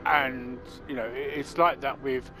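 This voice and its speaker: A man commenting over his gameplay, rambling and halting, with restarts and fillers. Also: medium pitch, steady tone. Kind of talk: let's play commentary. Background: game music.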